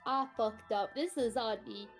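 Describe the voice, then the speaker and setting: A woman's voice: on the high side, unhurried, faint music behind. A young woman streaming a game, chatting casually and bluntly with swearing and exasperation. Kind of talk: casual chat while gaming, sweary.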